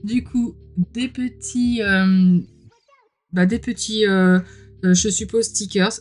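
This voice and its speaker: A woman's voice: mid-range voice, slow, a little mellow. Gushing, slightly ill woman with background music. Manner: gushing, informal, exclaims often. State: mildly ill, clearing throat. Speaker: host of a tarot unboxing channel. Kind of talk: casual unboxing commentary.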